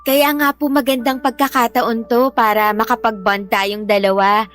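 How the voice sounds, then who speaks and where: An actress playing a timid, polite eighteen-year-old daughter who is eager to please and pleading, in a radio drama style. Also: female voice, fairly high pitch, little pitch movement, normal speed, a bit bright, close to an everyday voice.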